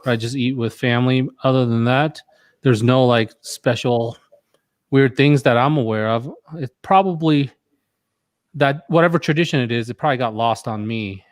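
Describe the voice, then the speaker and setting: Easygoing co-host, mid-pitched man, dry close sound. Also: normal speed, no echo. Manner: laid-back, easygoing, asks questions. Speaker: co-host of a live stream. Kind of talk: casual chat.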